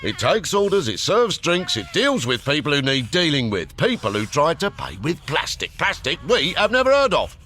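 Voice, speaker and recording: Threatening sci-fi barman: highish, hugely varied pitch, sardonic emphasis, radio comedy. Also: huge pitch swings, normal speed, few pauses, pushed and bright, slight background noise, heavily acted. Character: menacing alien barman, a bullying male heavy. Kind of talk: radio comedy drama acting.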